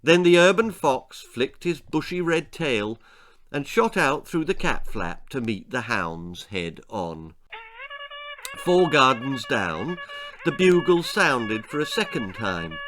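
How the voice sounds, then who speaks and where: A man with an English accent reading a children's story aloud in an expressive, theatrical and deliberate way. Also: mid-range voice. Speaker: author reading his own story.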